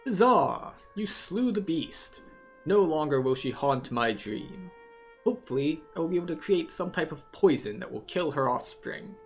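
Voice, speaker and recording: An excitable male alchemist from a fantasy game, acted out by a player, speaks in a raised pitch with theatrical formality. He is grateful and exclamatory, with music faintly behind him. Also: normal speed. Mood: relieved, triumphant.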